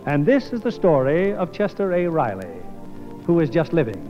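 A man's voice: a little high, big pitch swings, normal speed. A man hosting a 1950s radio show with formal, theatrical, polished scripted narration. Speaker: radio show host and producer. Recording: muffled old radio broadcast, music.

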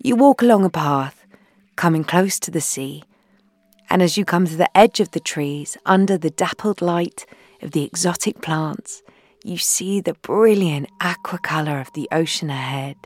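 A woman leading a guided meditation in a soothing, gentle, unhurried way, leaving long pauses between phrases and softening into breathy endings. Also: fairly low pitch, no echo. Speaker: guided meditation leader, founder.

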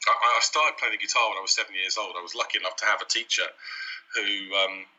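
A man's voice: lowish voice, fairly even intonation, fast talker, bright, forceful tone, breathy edge. A man from Wales as a guest on a podcast, talking in an easygoing, reflective way and laughing often, heard over an internet call. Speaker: guest, company CEO. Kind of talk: casual interview chat. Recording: dull internet call audio.

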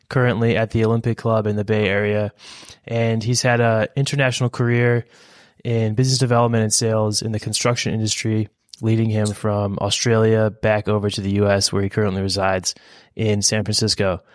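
A man's voice: medium pitch, no echo, clean studio sound. A man hosting a podcast, upbeat and laughing easily as he welcomes and interviews his guest. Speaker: podcast host.

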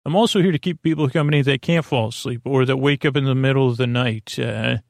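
A man hosting a bedtime podcast in a creaky, lulling voice, rambling and stammering through meandering tangents and false starts. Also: medium pitch, quick pace, no echo, studio quality. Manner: soothing, lulling. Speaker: podcast host.

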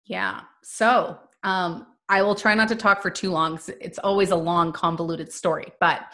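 A woman's voice: on the low side, steady tone, moderate pace, fairly bright tone. A woman from Canada, a business coach, telling her story as a podcast guest in a chatty, upbeat, rambling way. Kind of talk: casual interview storytelling.

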